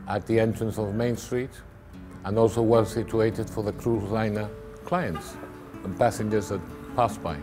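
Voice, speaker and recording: A middle-aged man recalling his family business in a documentary interview, halting and repeating words as he goes. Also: fairly low pitch.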